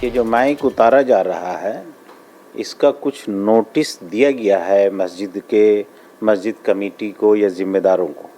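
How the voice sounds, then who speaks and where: Slow-talking man giving a statement. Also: on the low side, warm tone. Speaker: interviewee. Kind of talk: spontaneous spoken statement.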